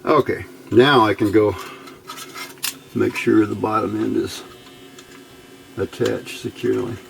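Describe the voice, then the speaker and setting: Low-voiced gravelly man, folksy and hands-on. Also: steady tone, unhurried. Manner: folksy, muttering to himself while working. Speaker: electronics hobbyist instructor. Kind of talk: casual step-by-step demonstration while working. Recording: clear background noise.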